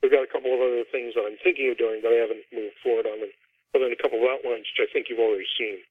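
A man's voice: mid-range voice, steady tone, rapid-fire, no echo. Middle-aged man on a dull phone line. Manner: explanatory, talks at length about his fiction. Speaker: author being interviewed. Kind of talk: conversational interview. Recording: dull, band-limited phone or radio-like line.

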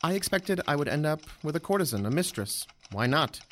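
A man's voice: fairly high pitch, sing-song, fairly quick, fairly bright tone, slight background noise. A man playing a proud, impatient blind young officer, brusque and commanding, in an audio drama. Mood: frustrated, reflective. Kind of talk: full-cast audio drama acting.

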